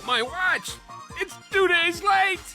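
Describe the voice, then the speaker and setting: A woman's voice: very high voice, expressive, takes it slowly, sharp tone, faint music behind, heavily acted. A woman voicing a frantic, eccentric man in a children's storybook cartoon. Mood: alarmed.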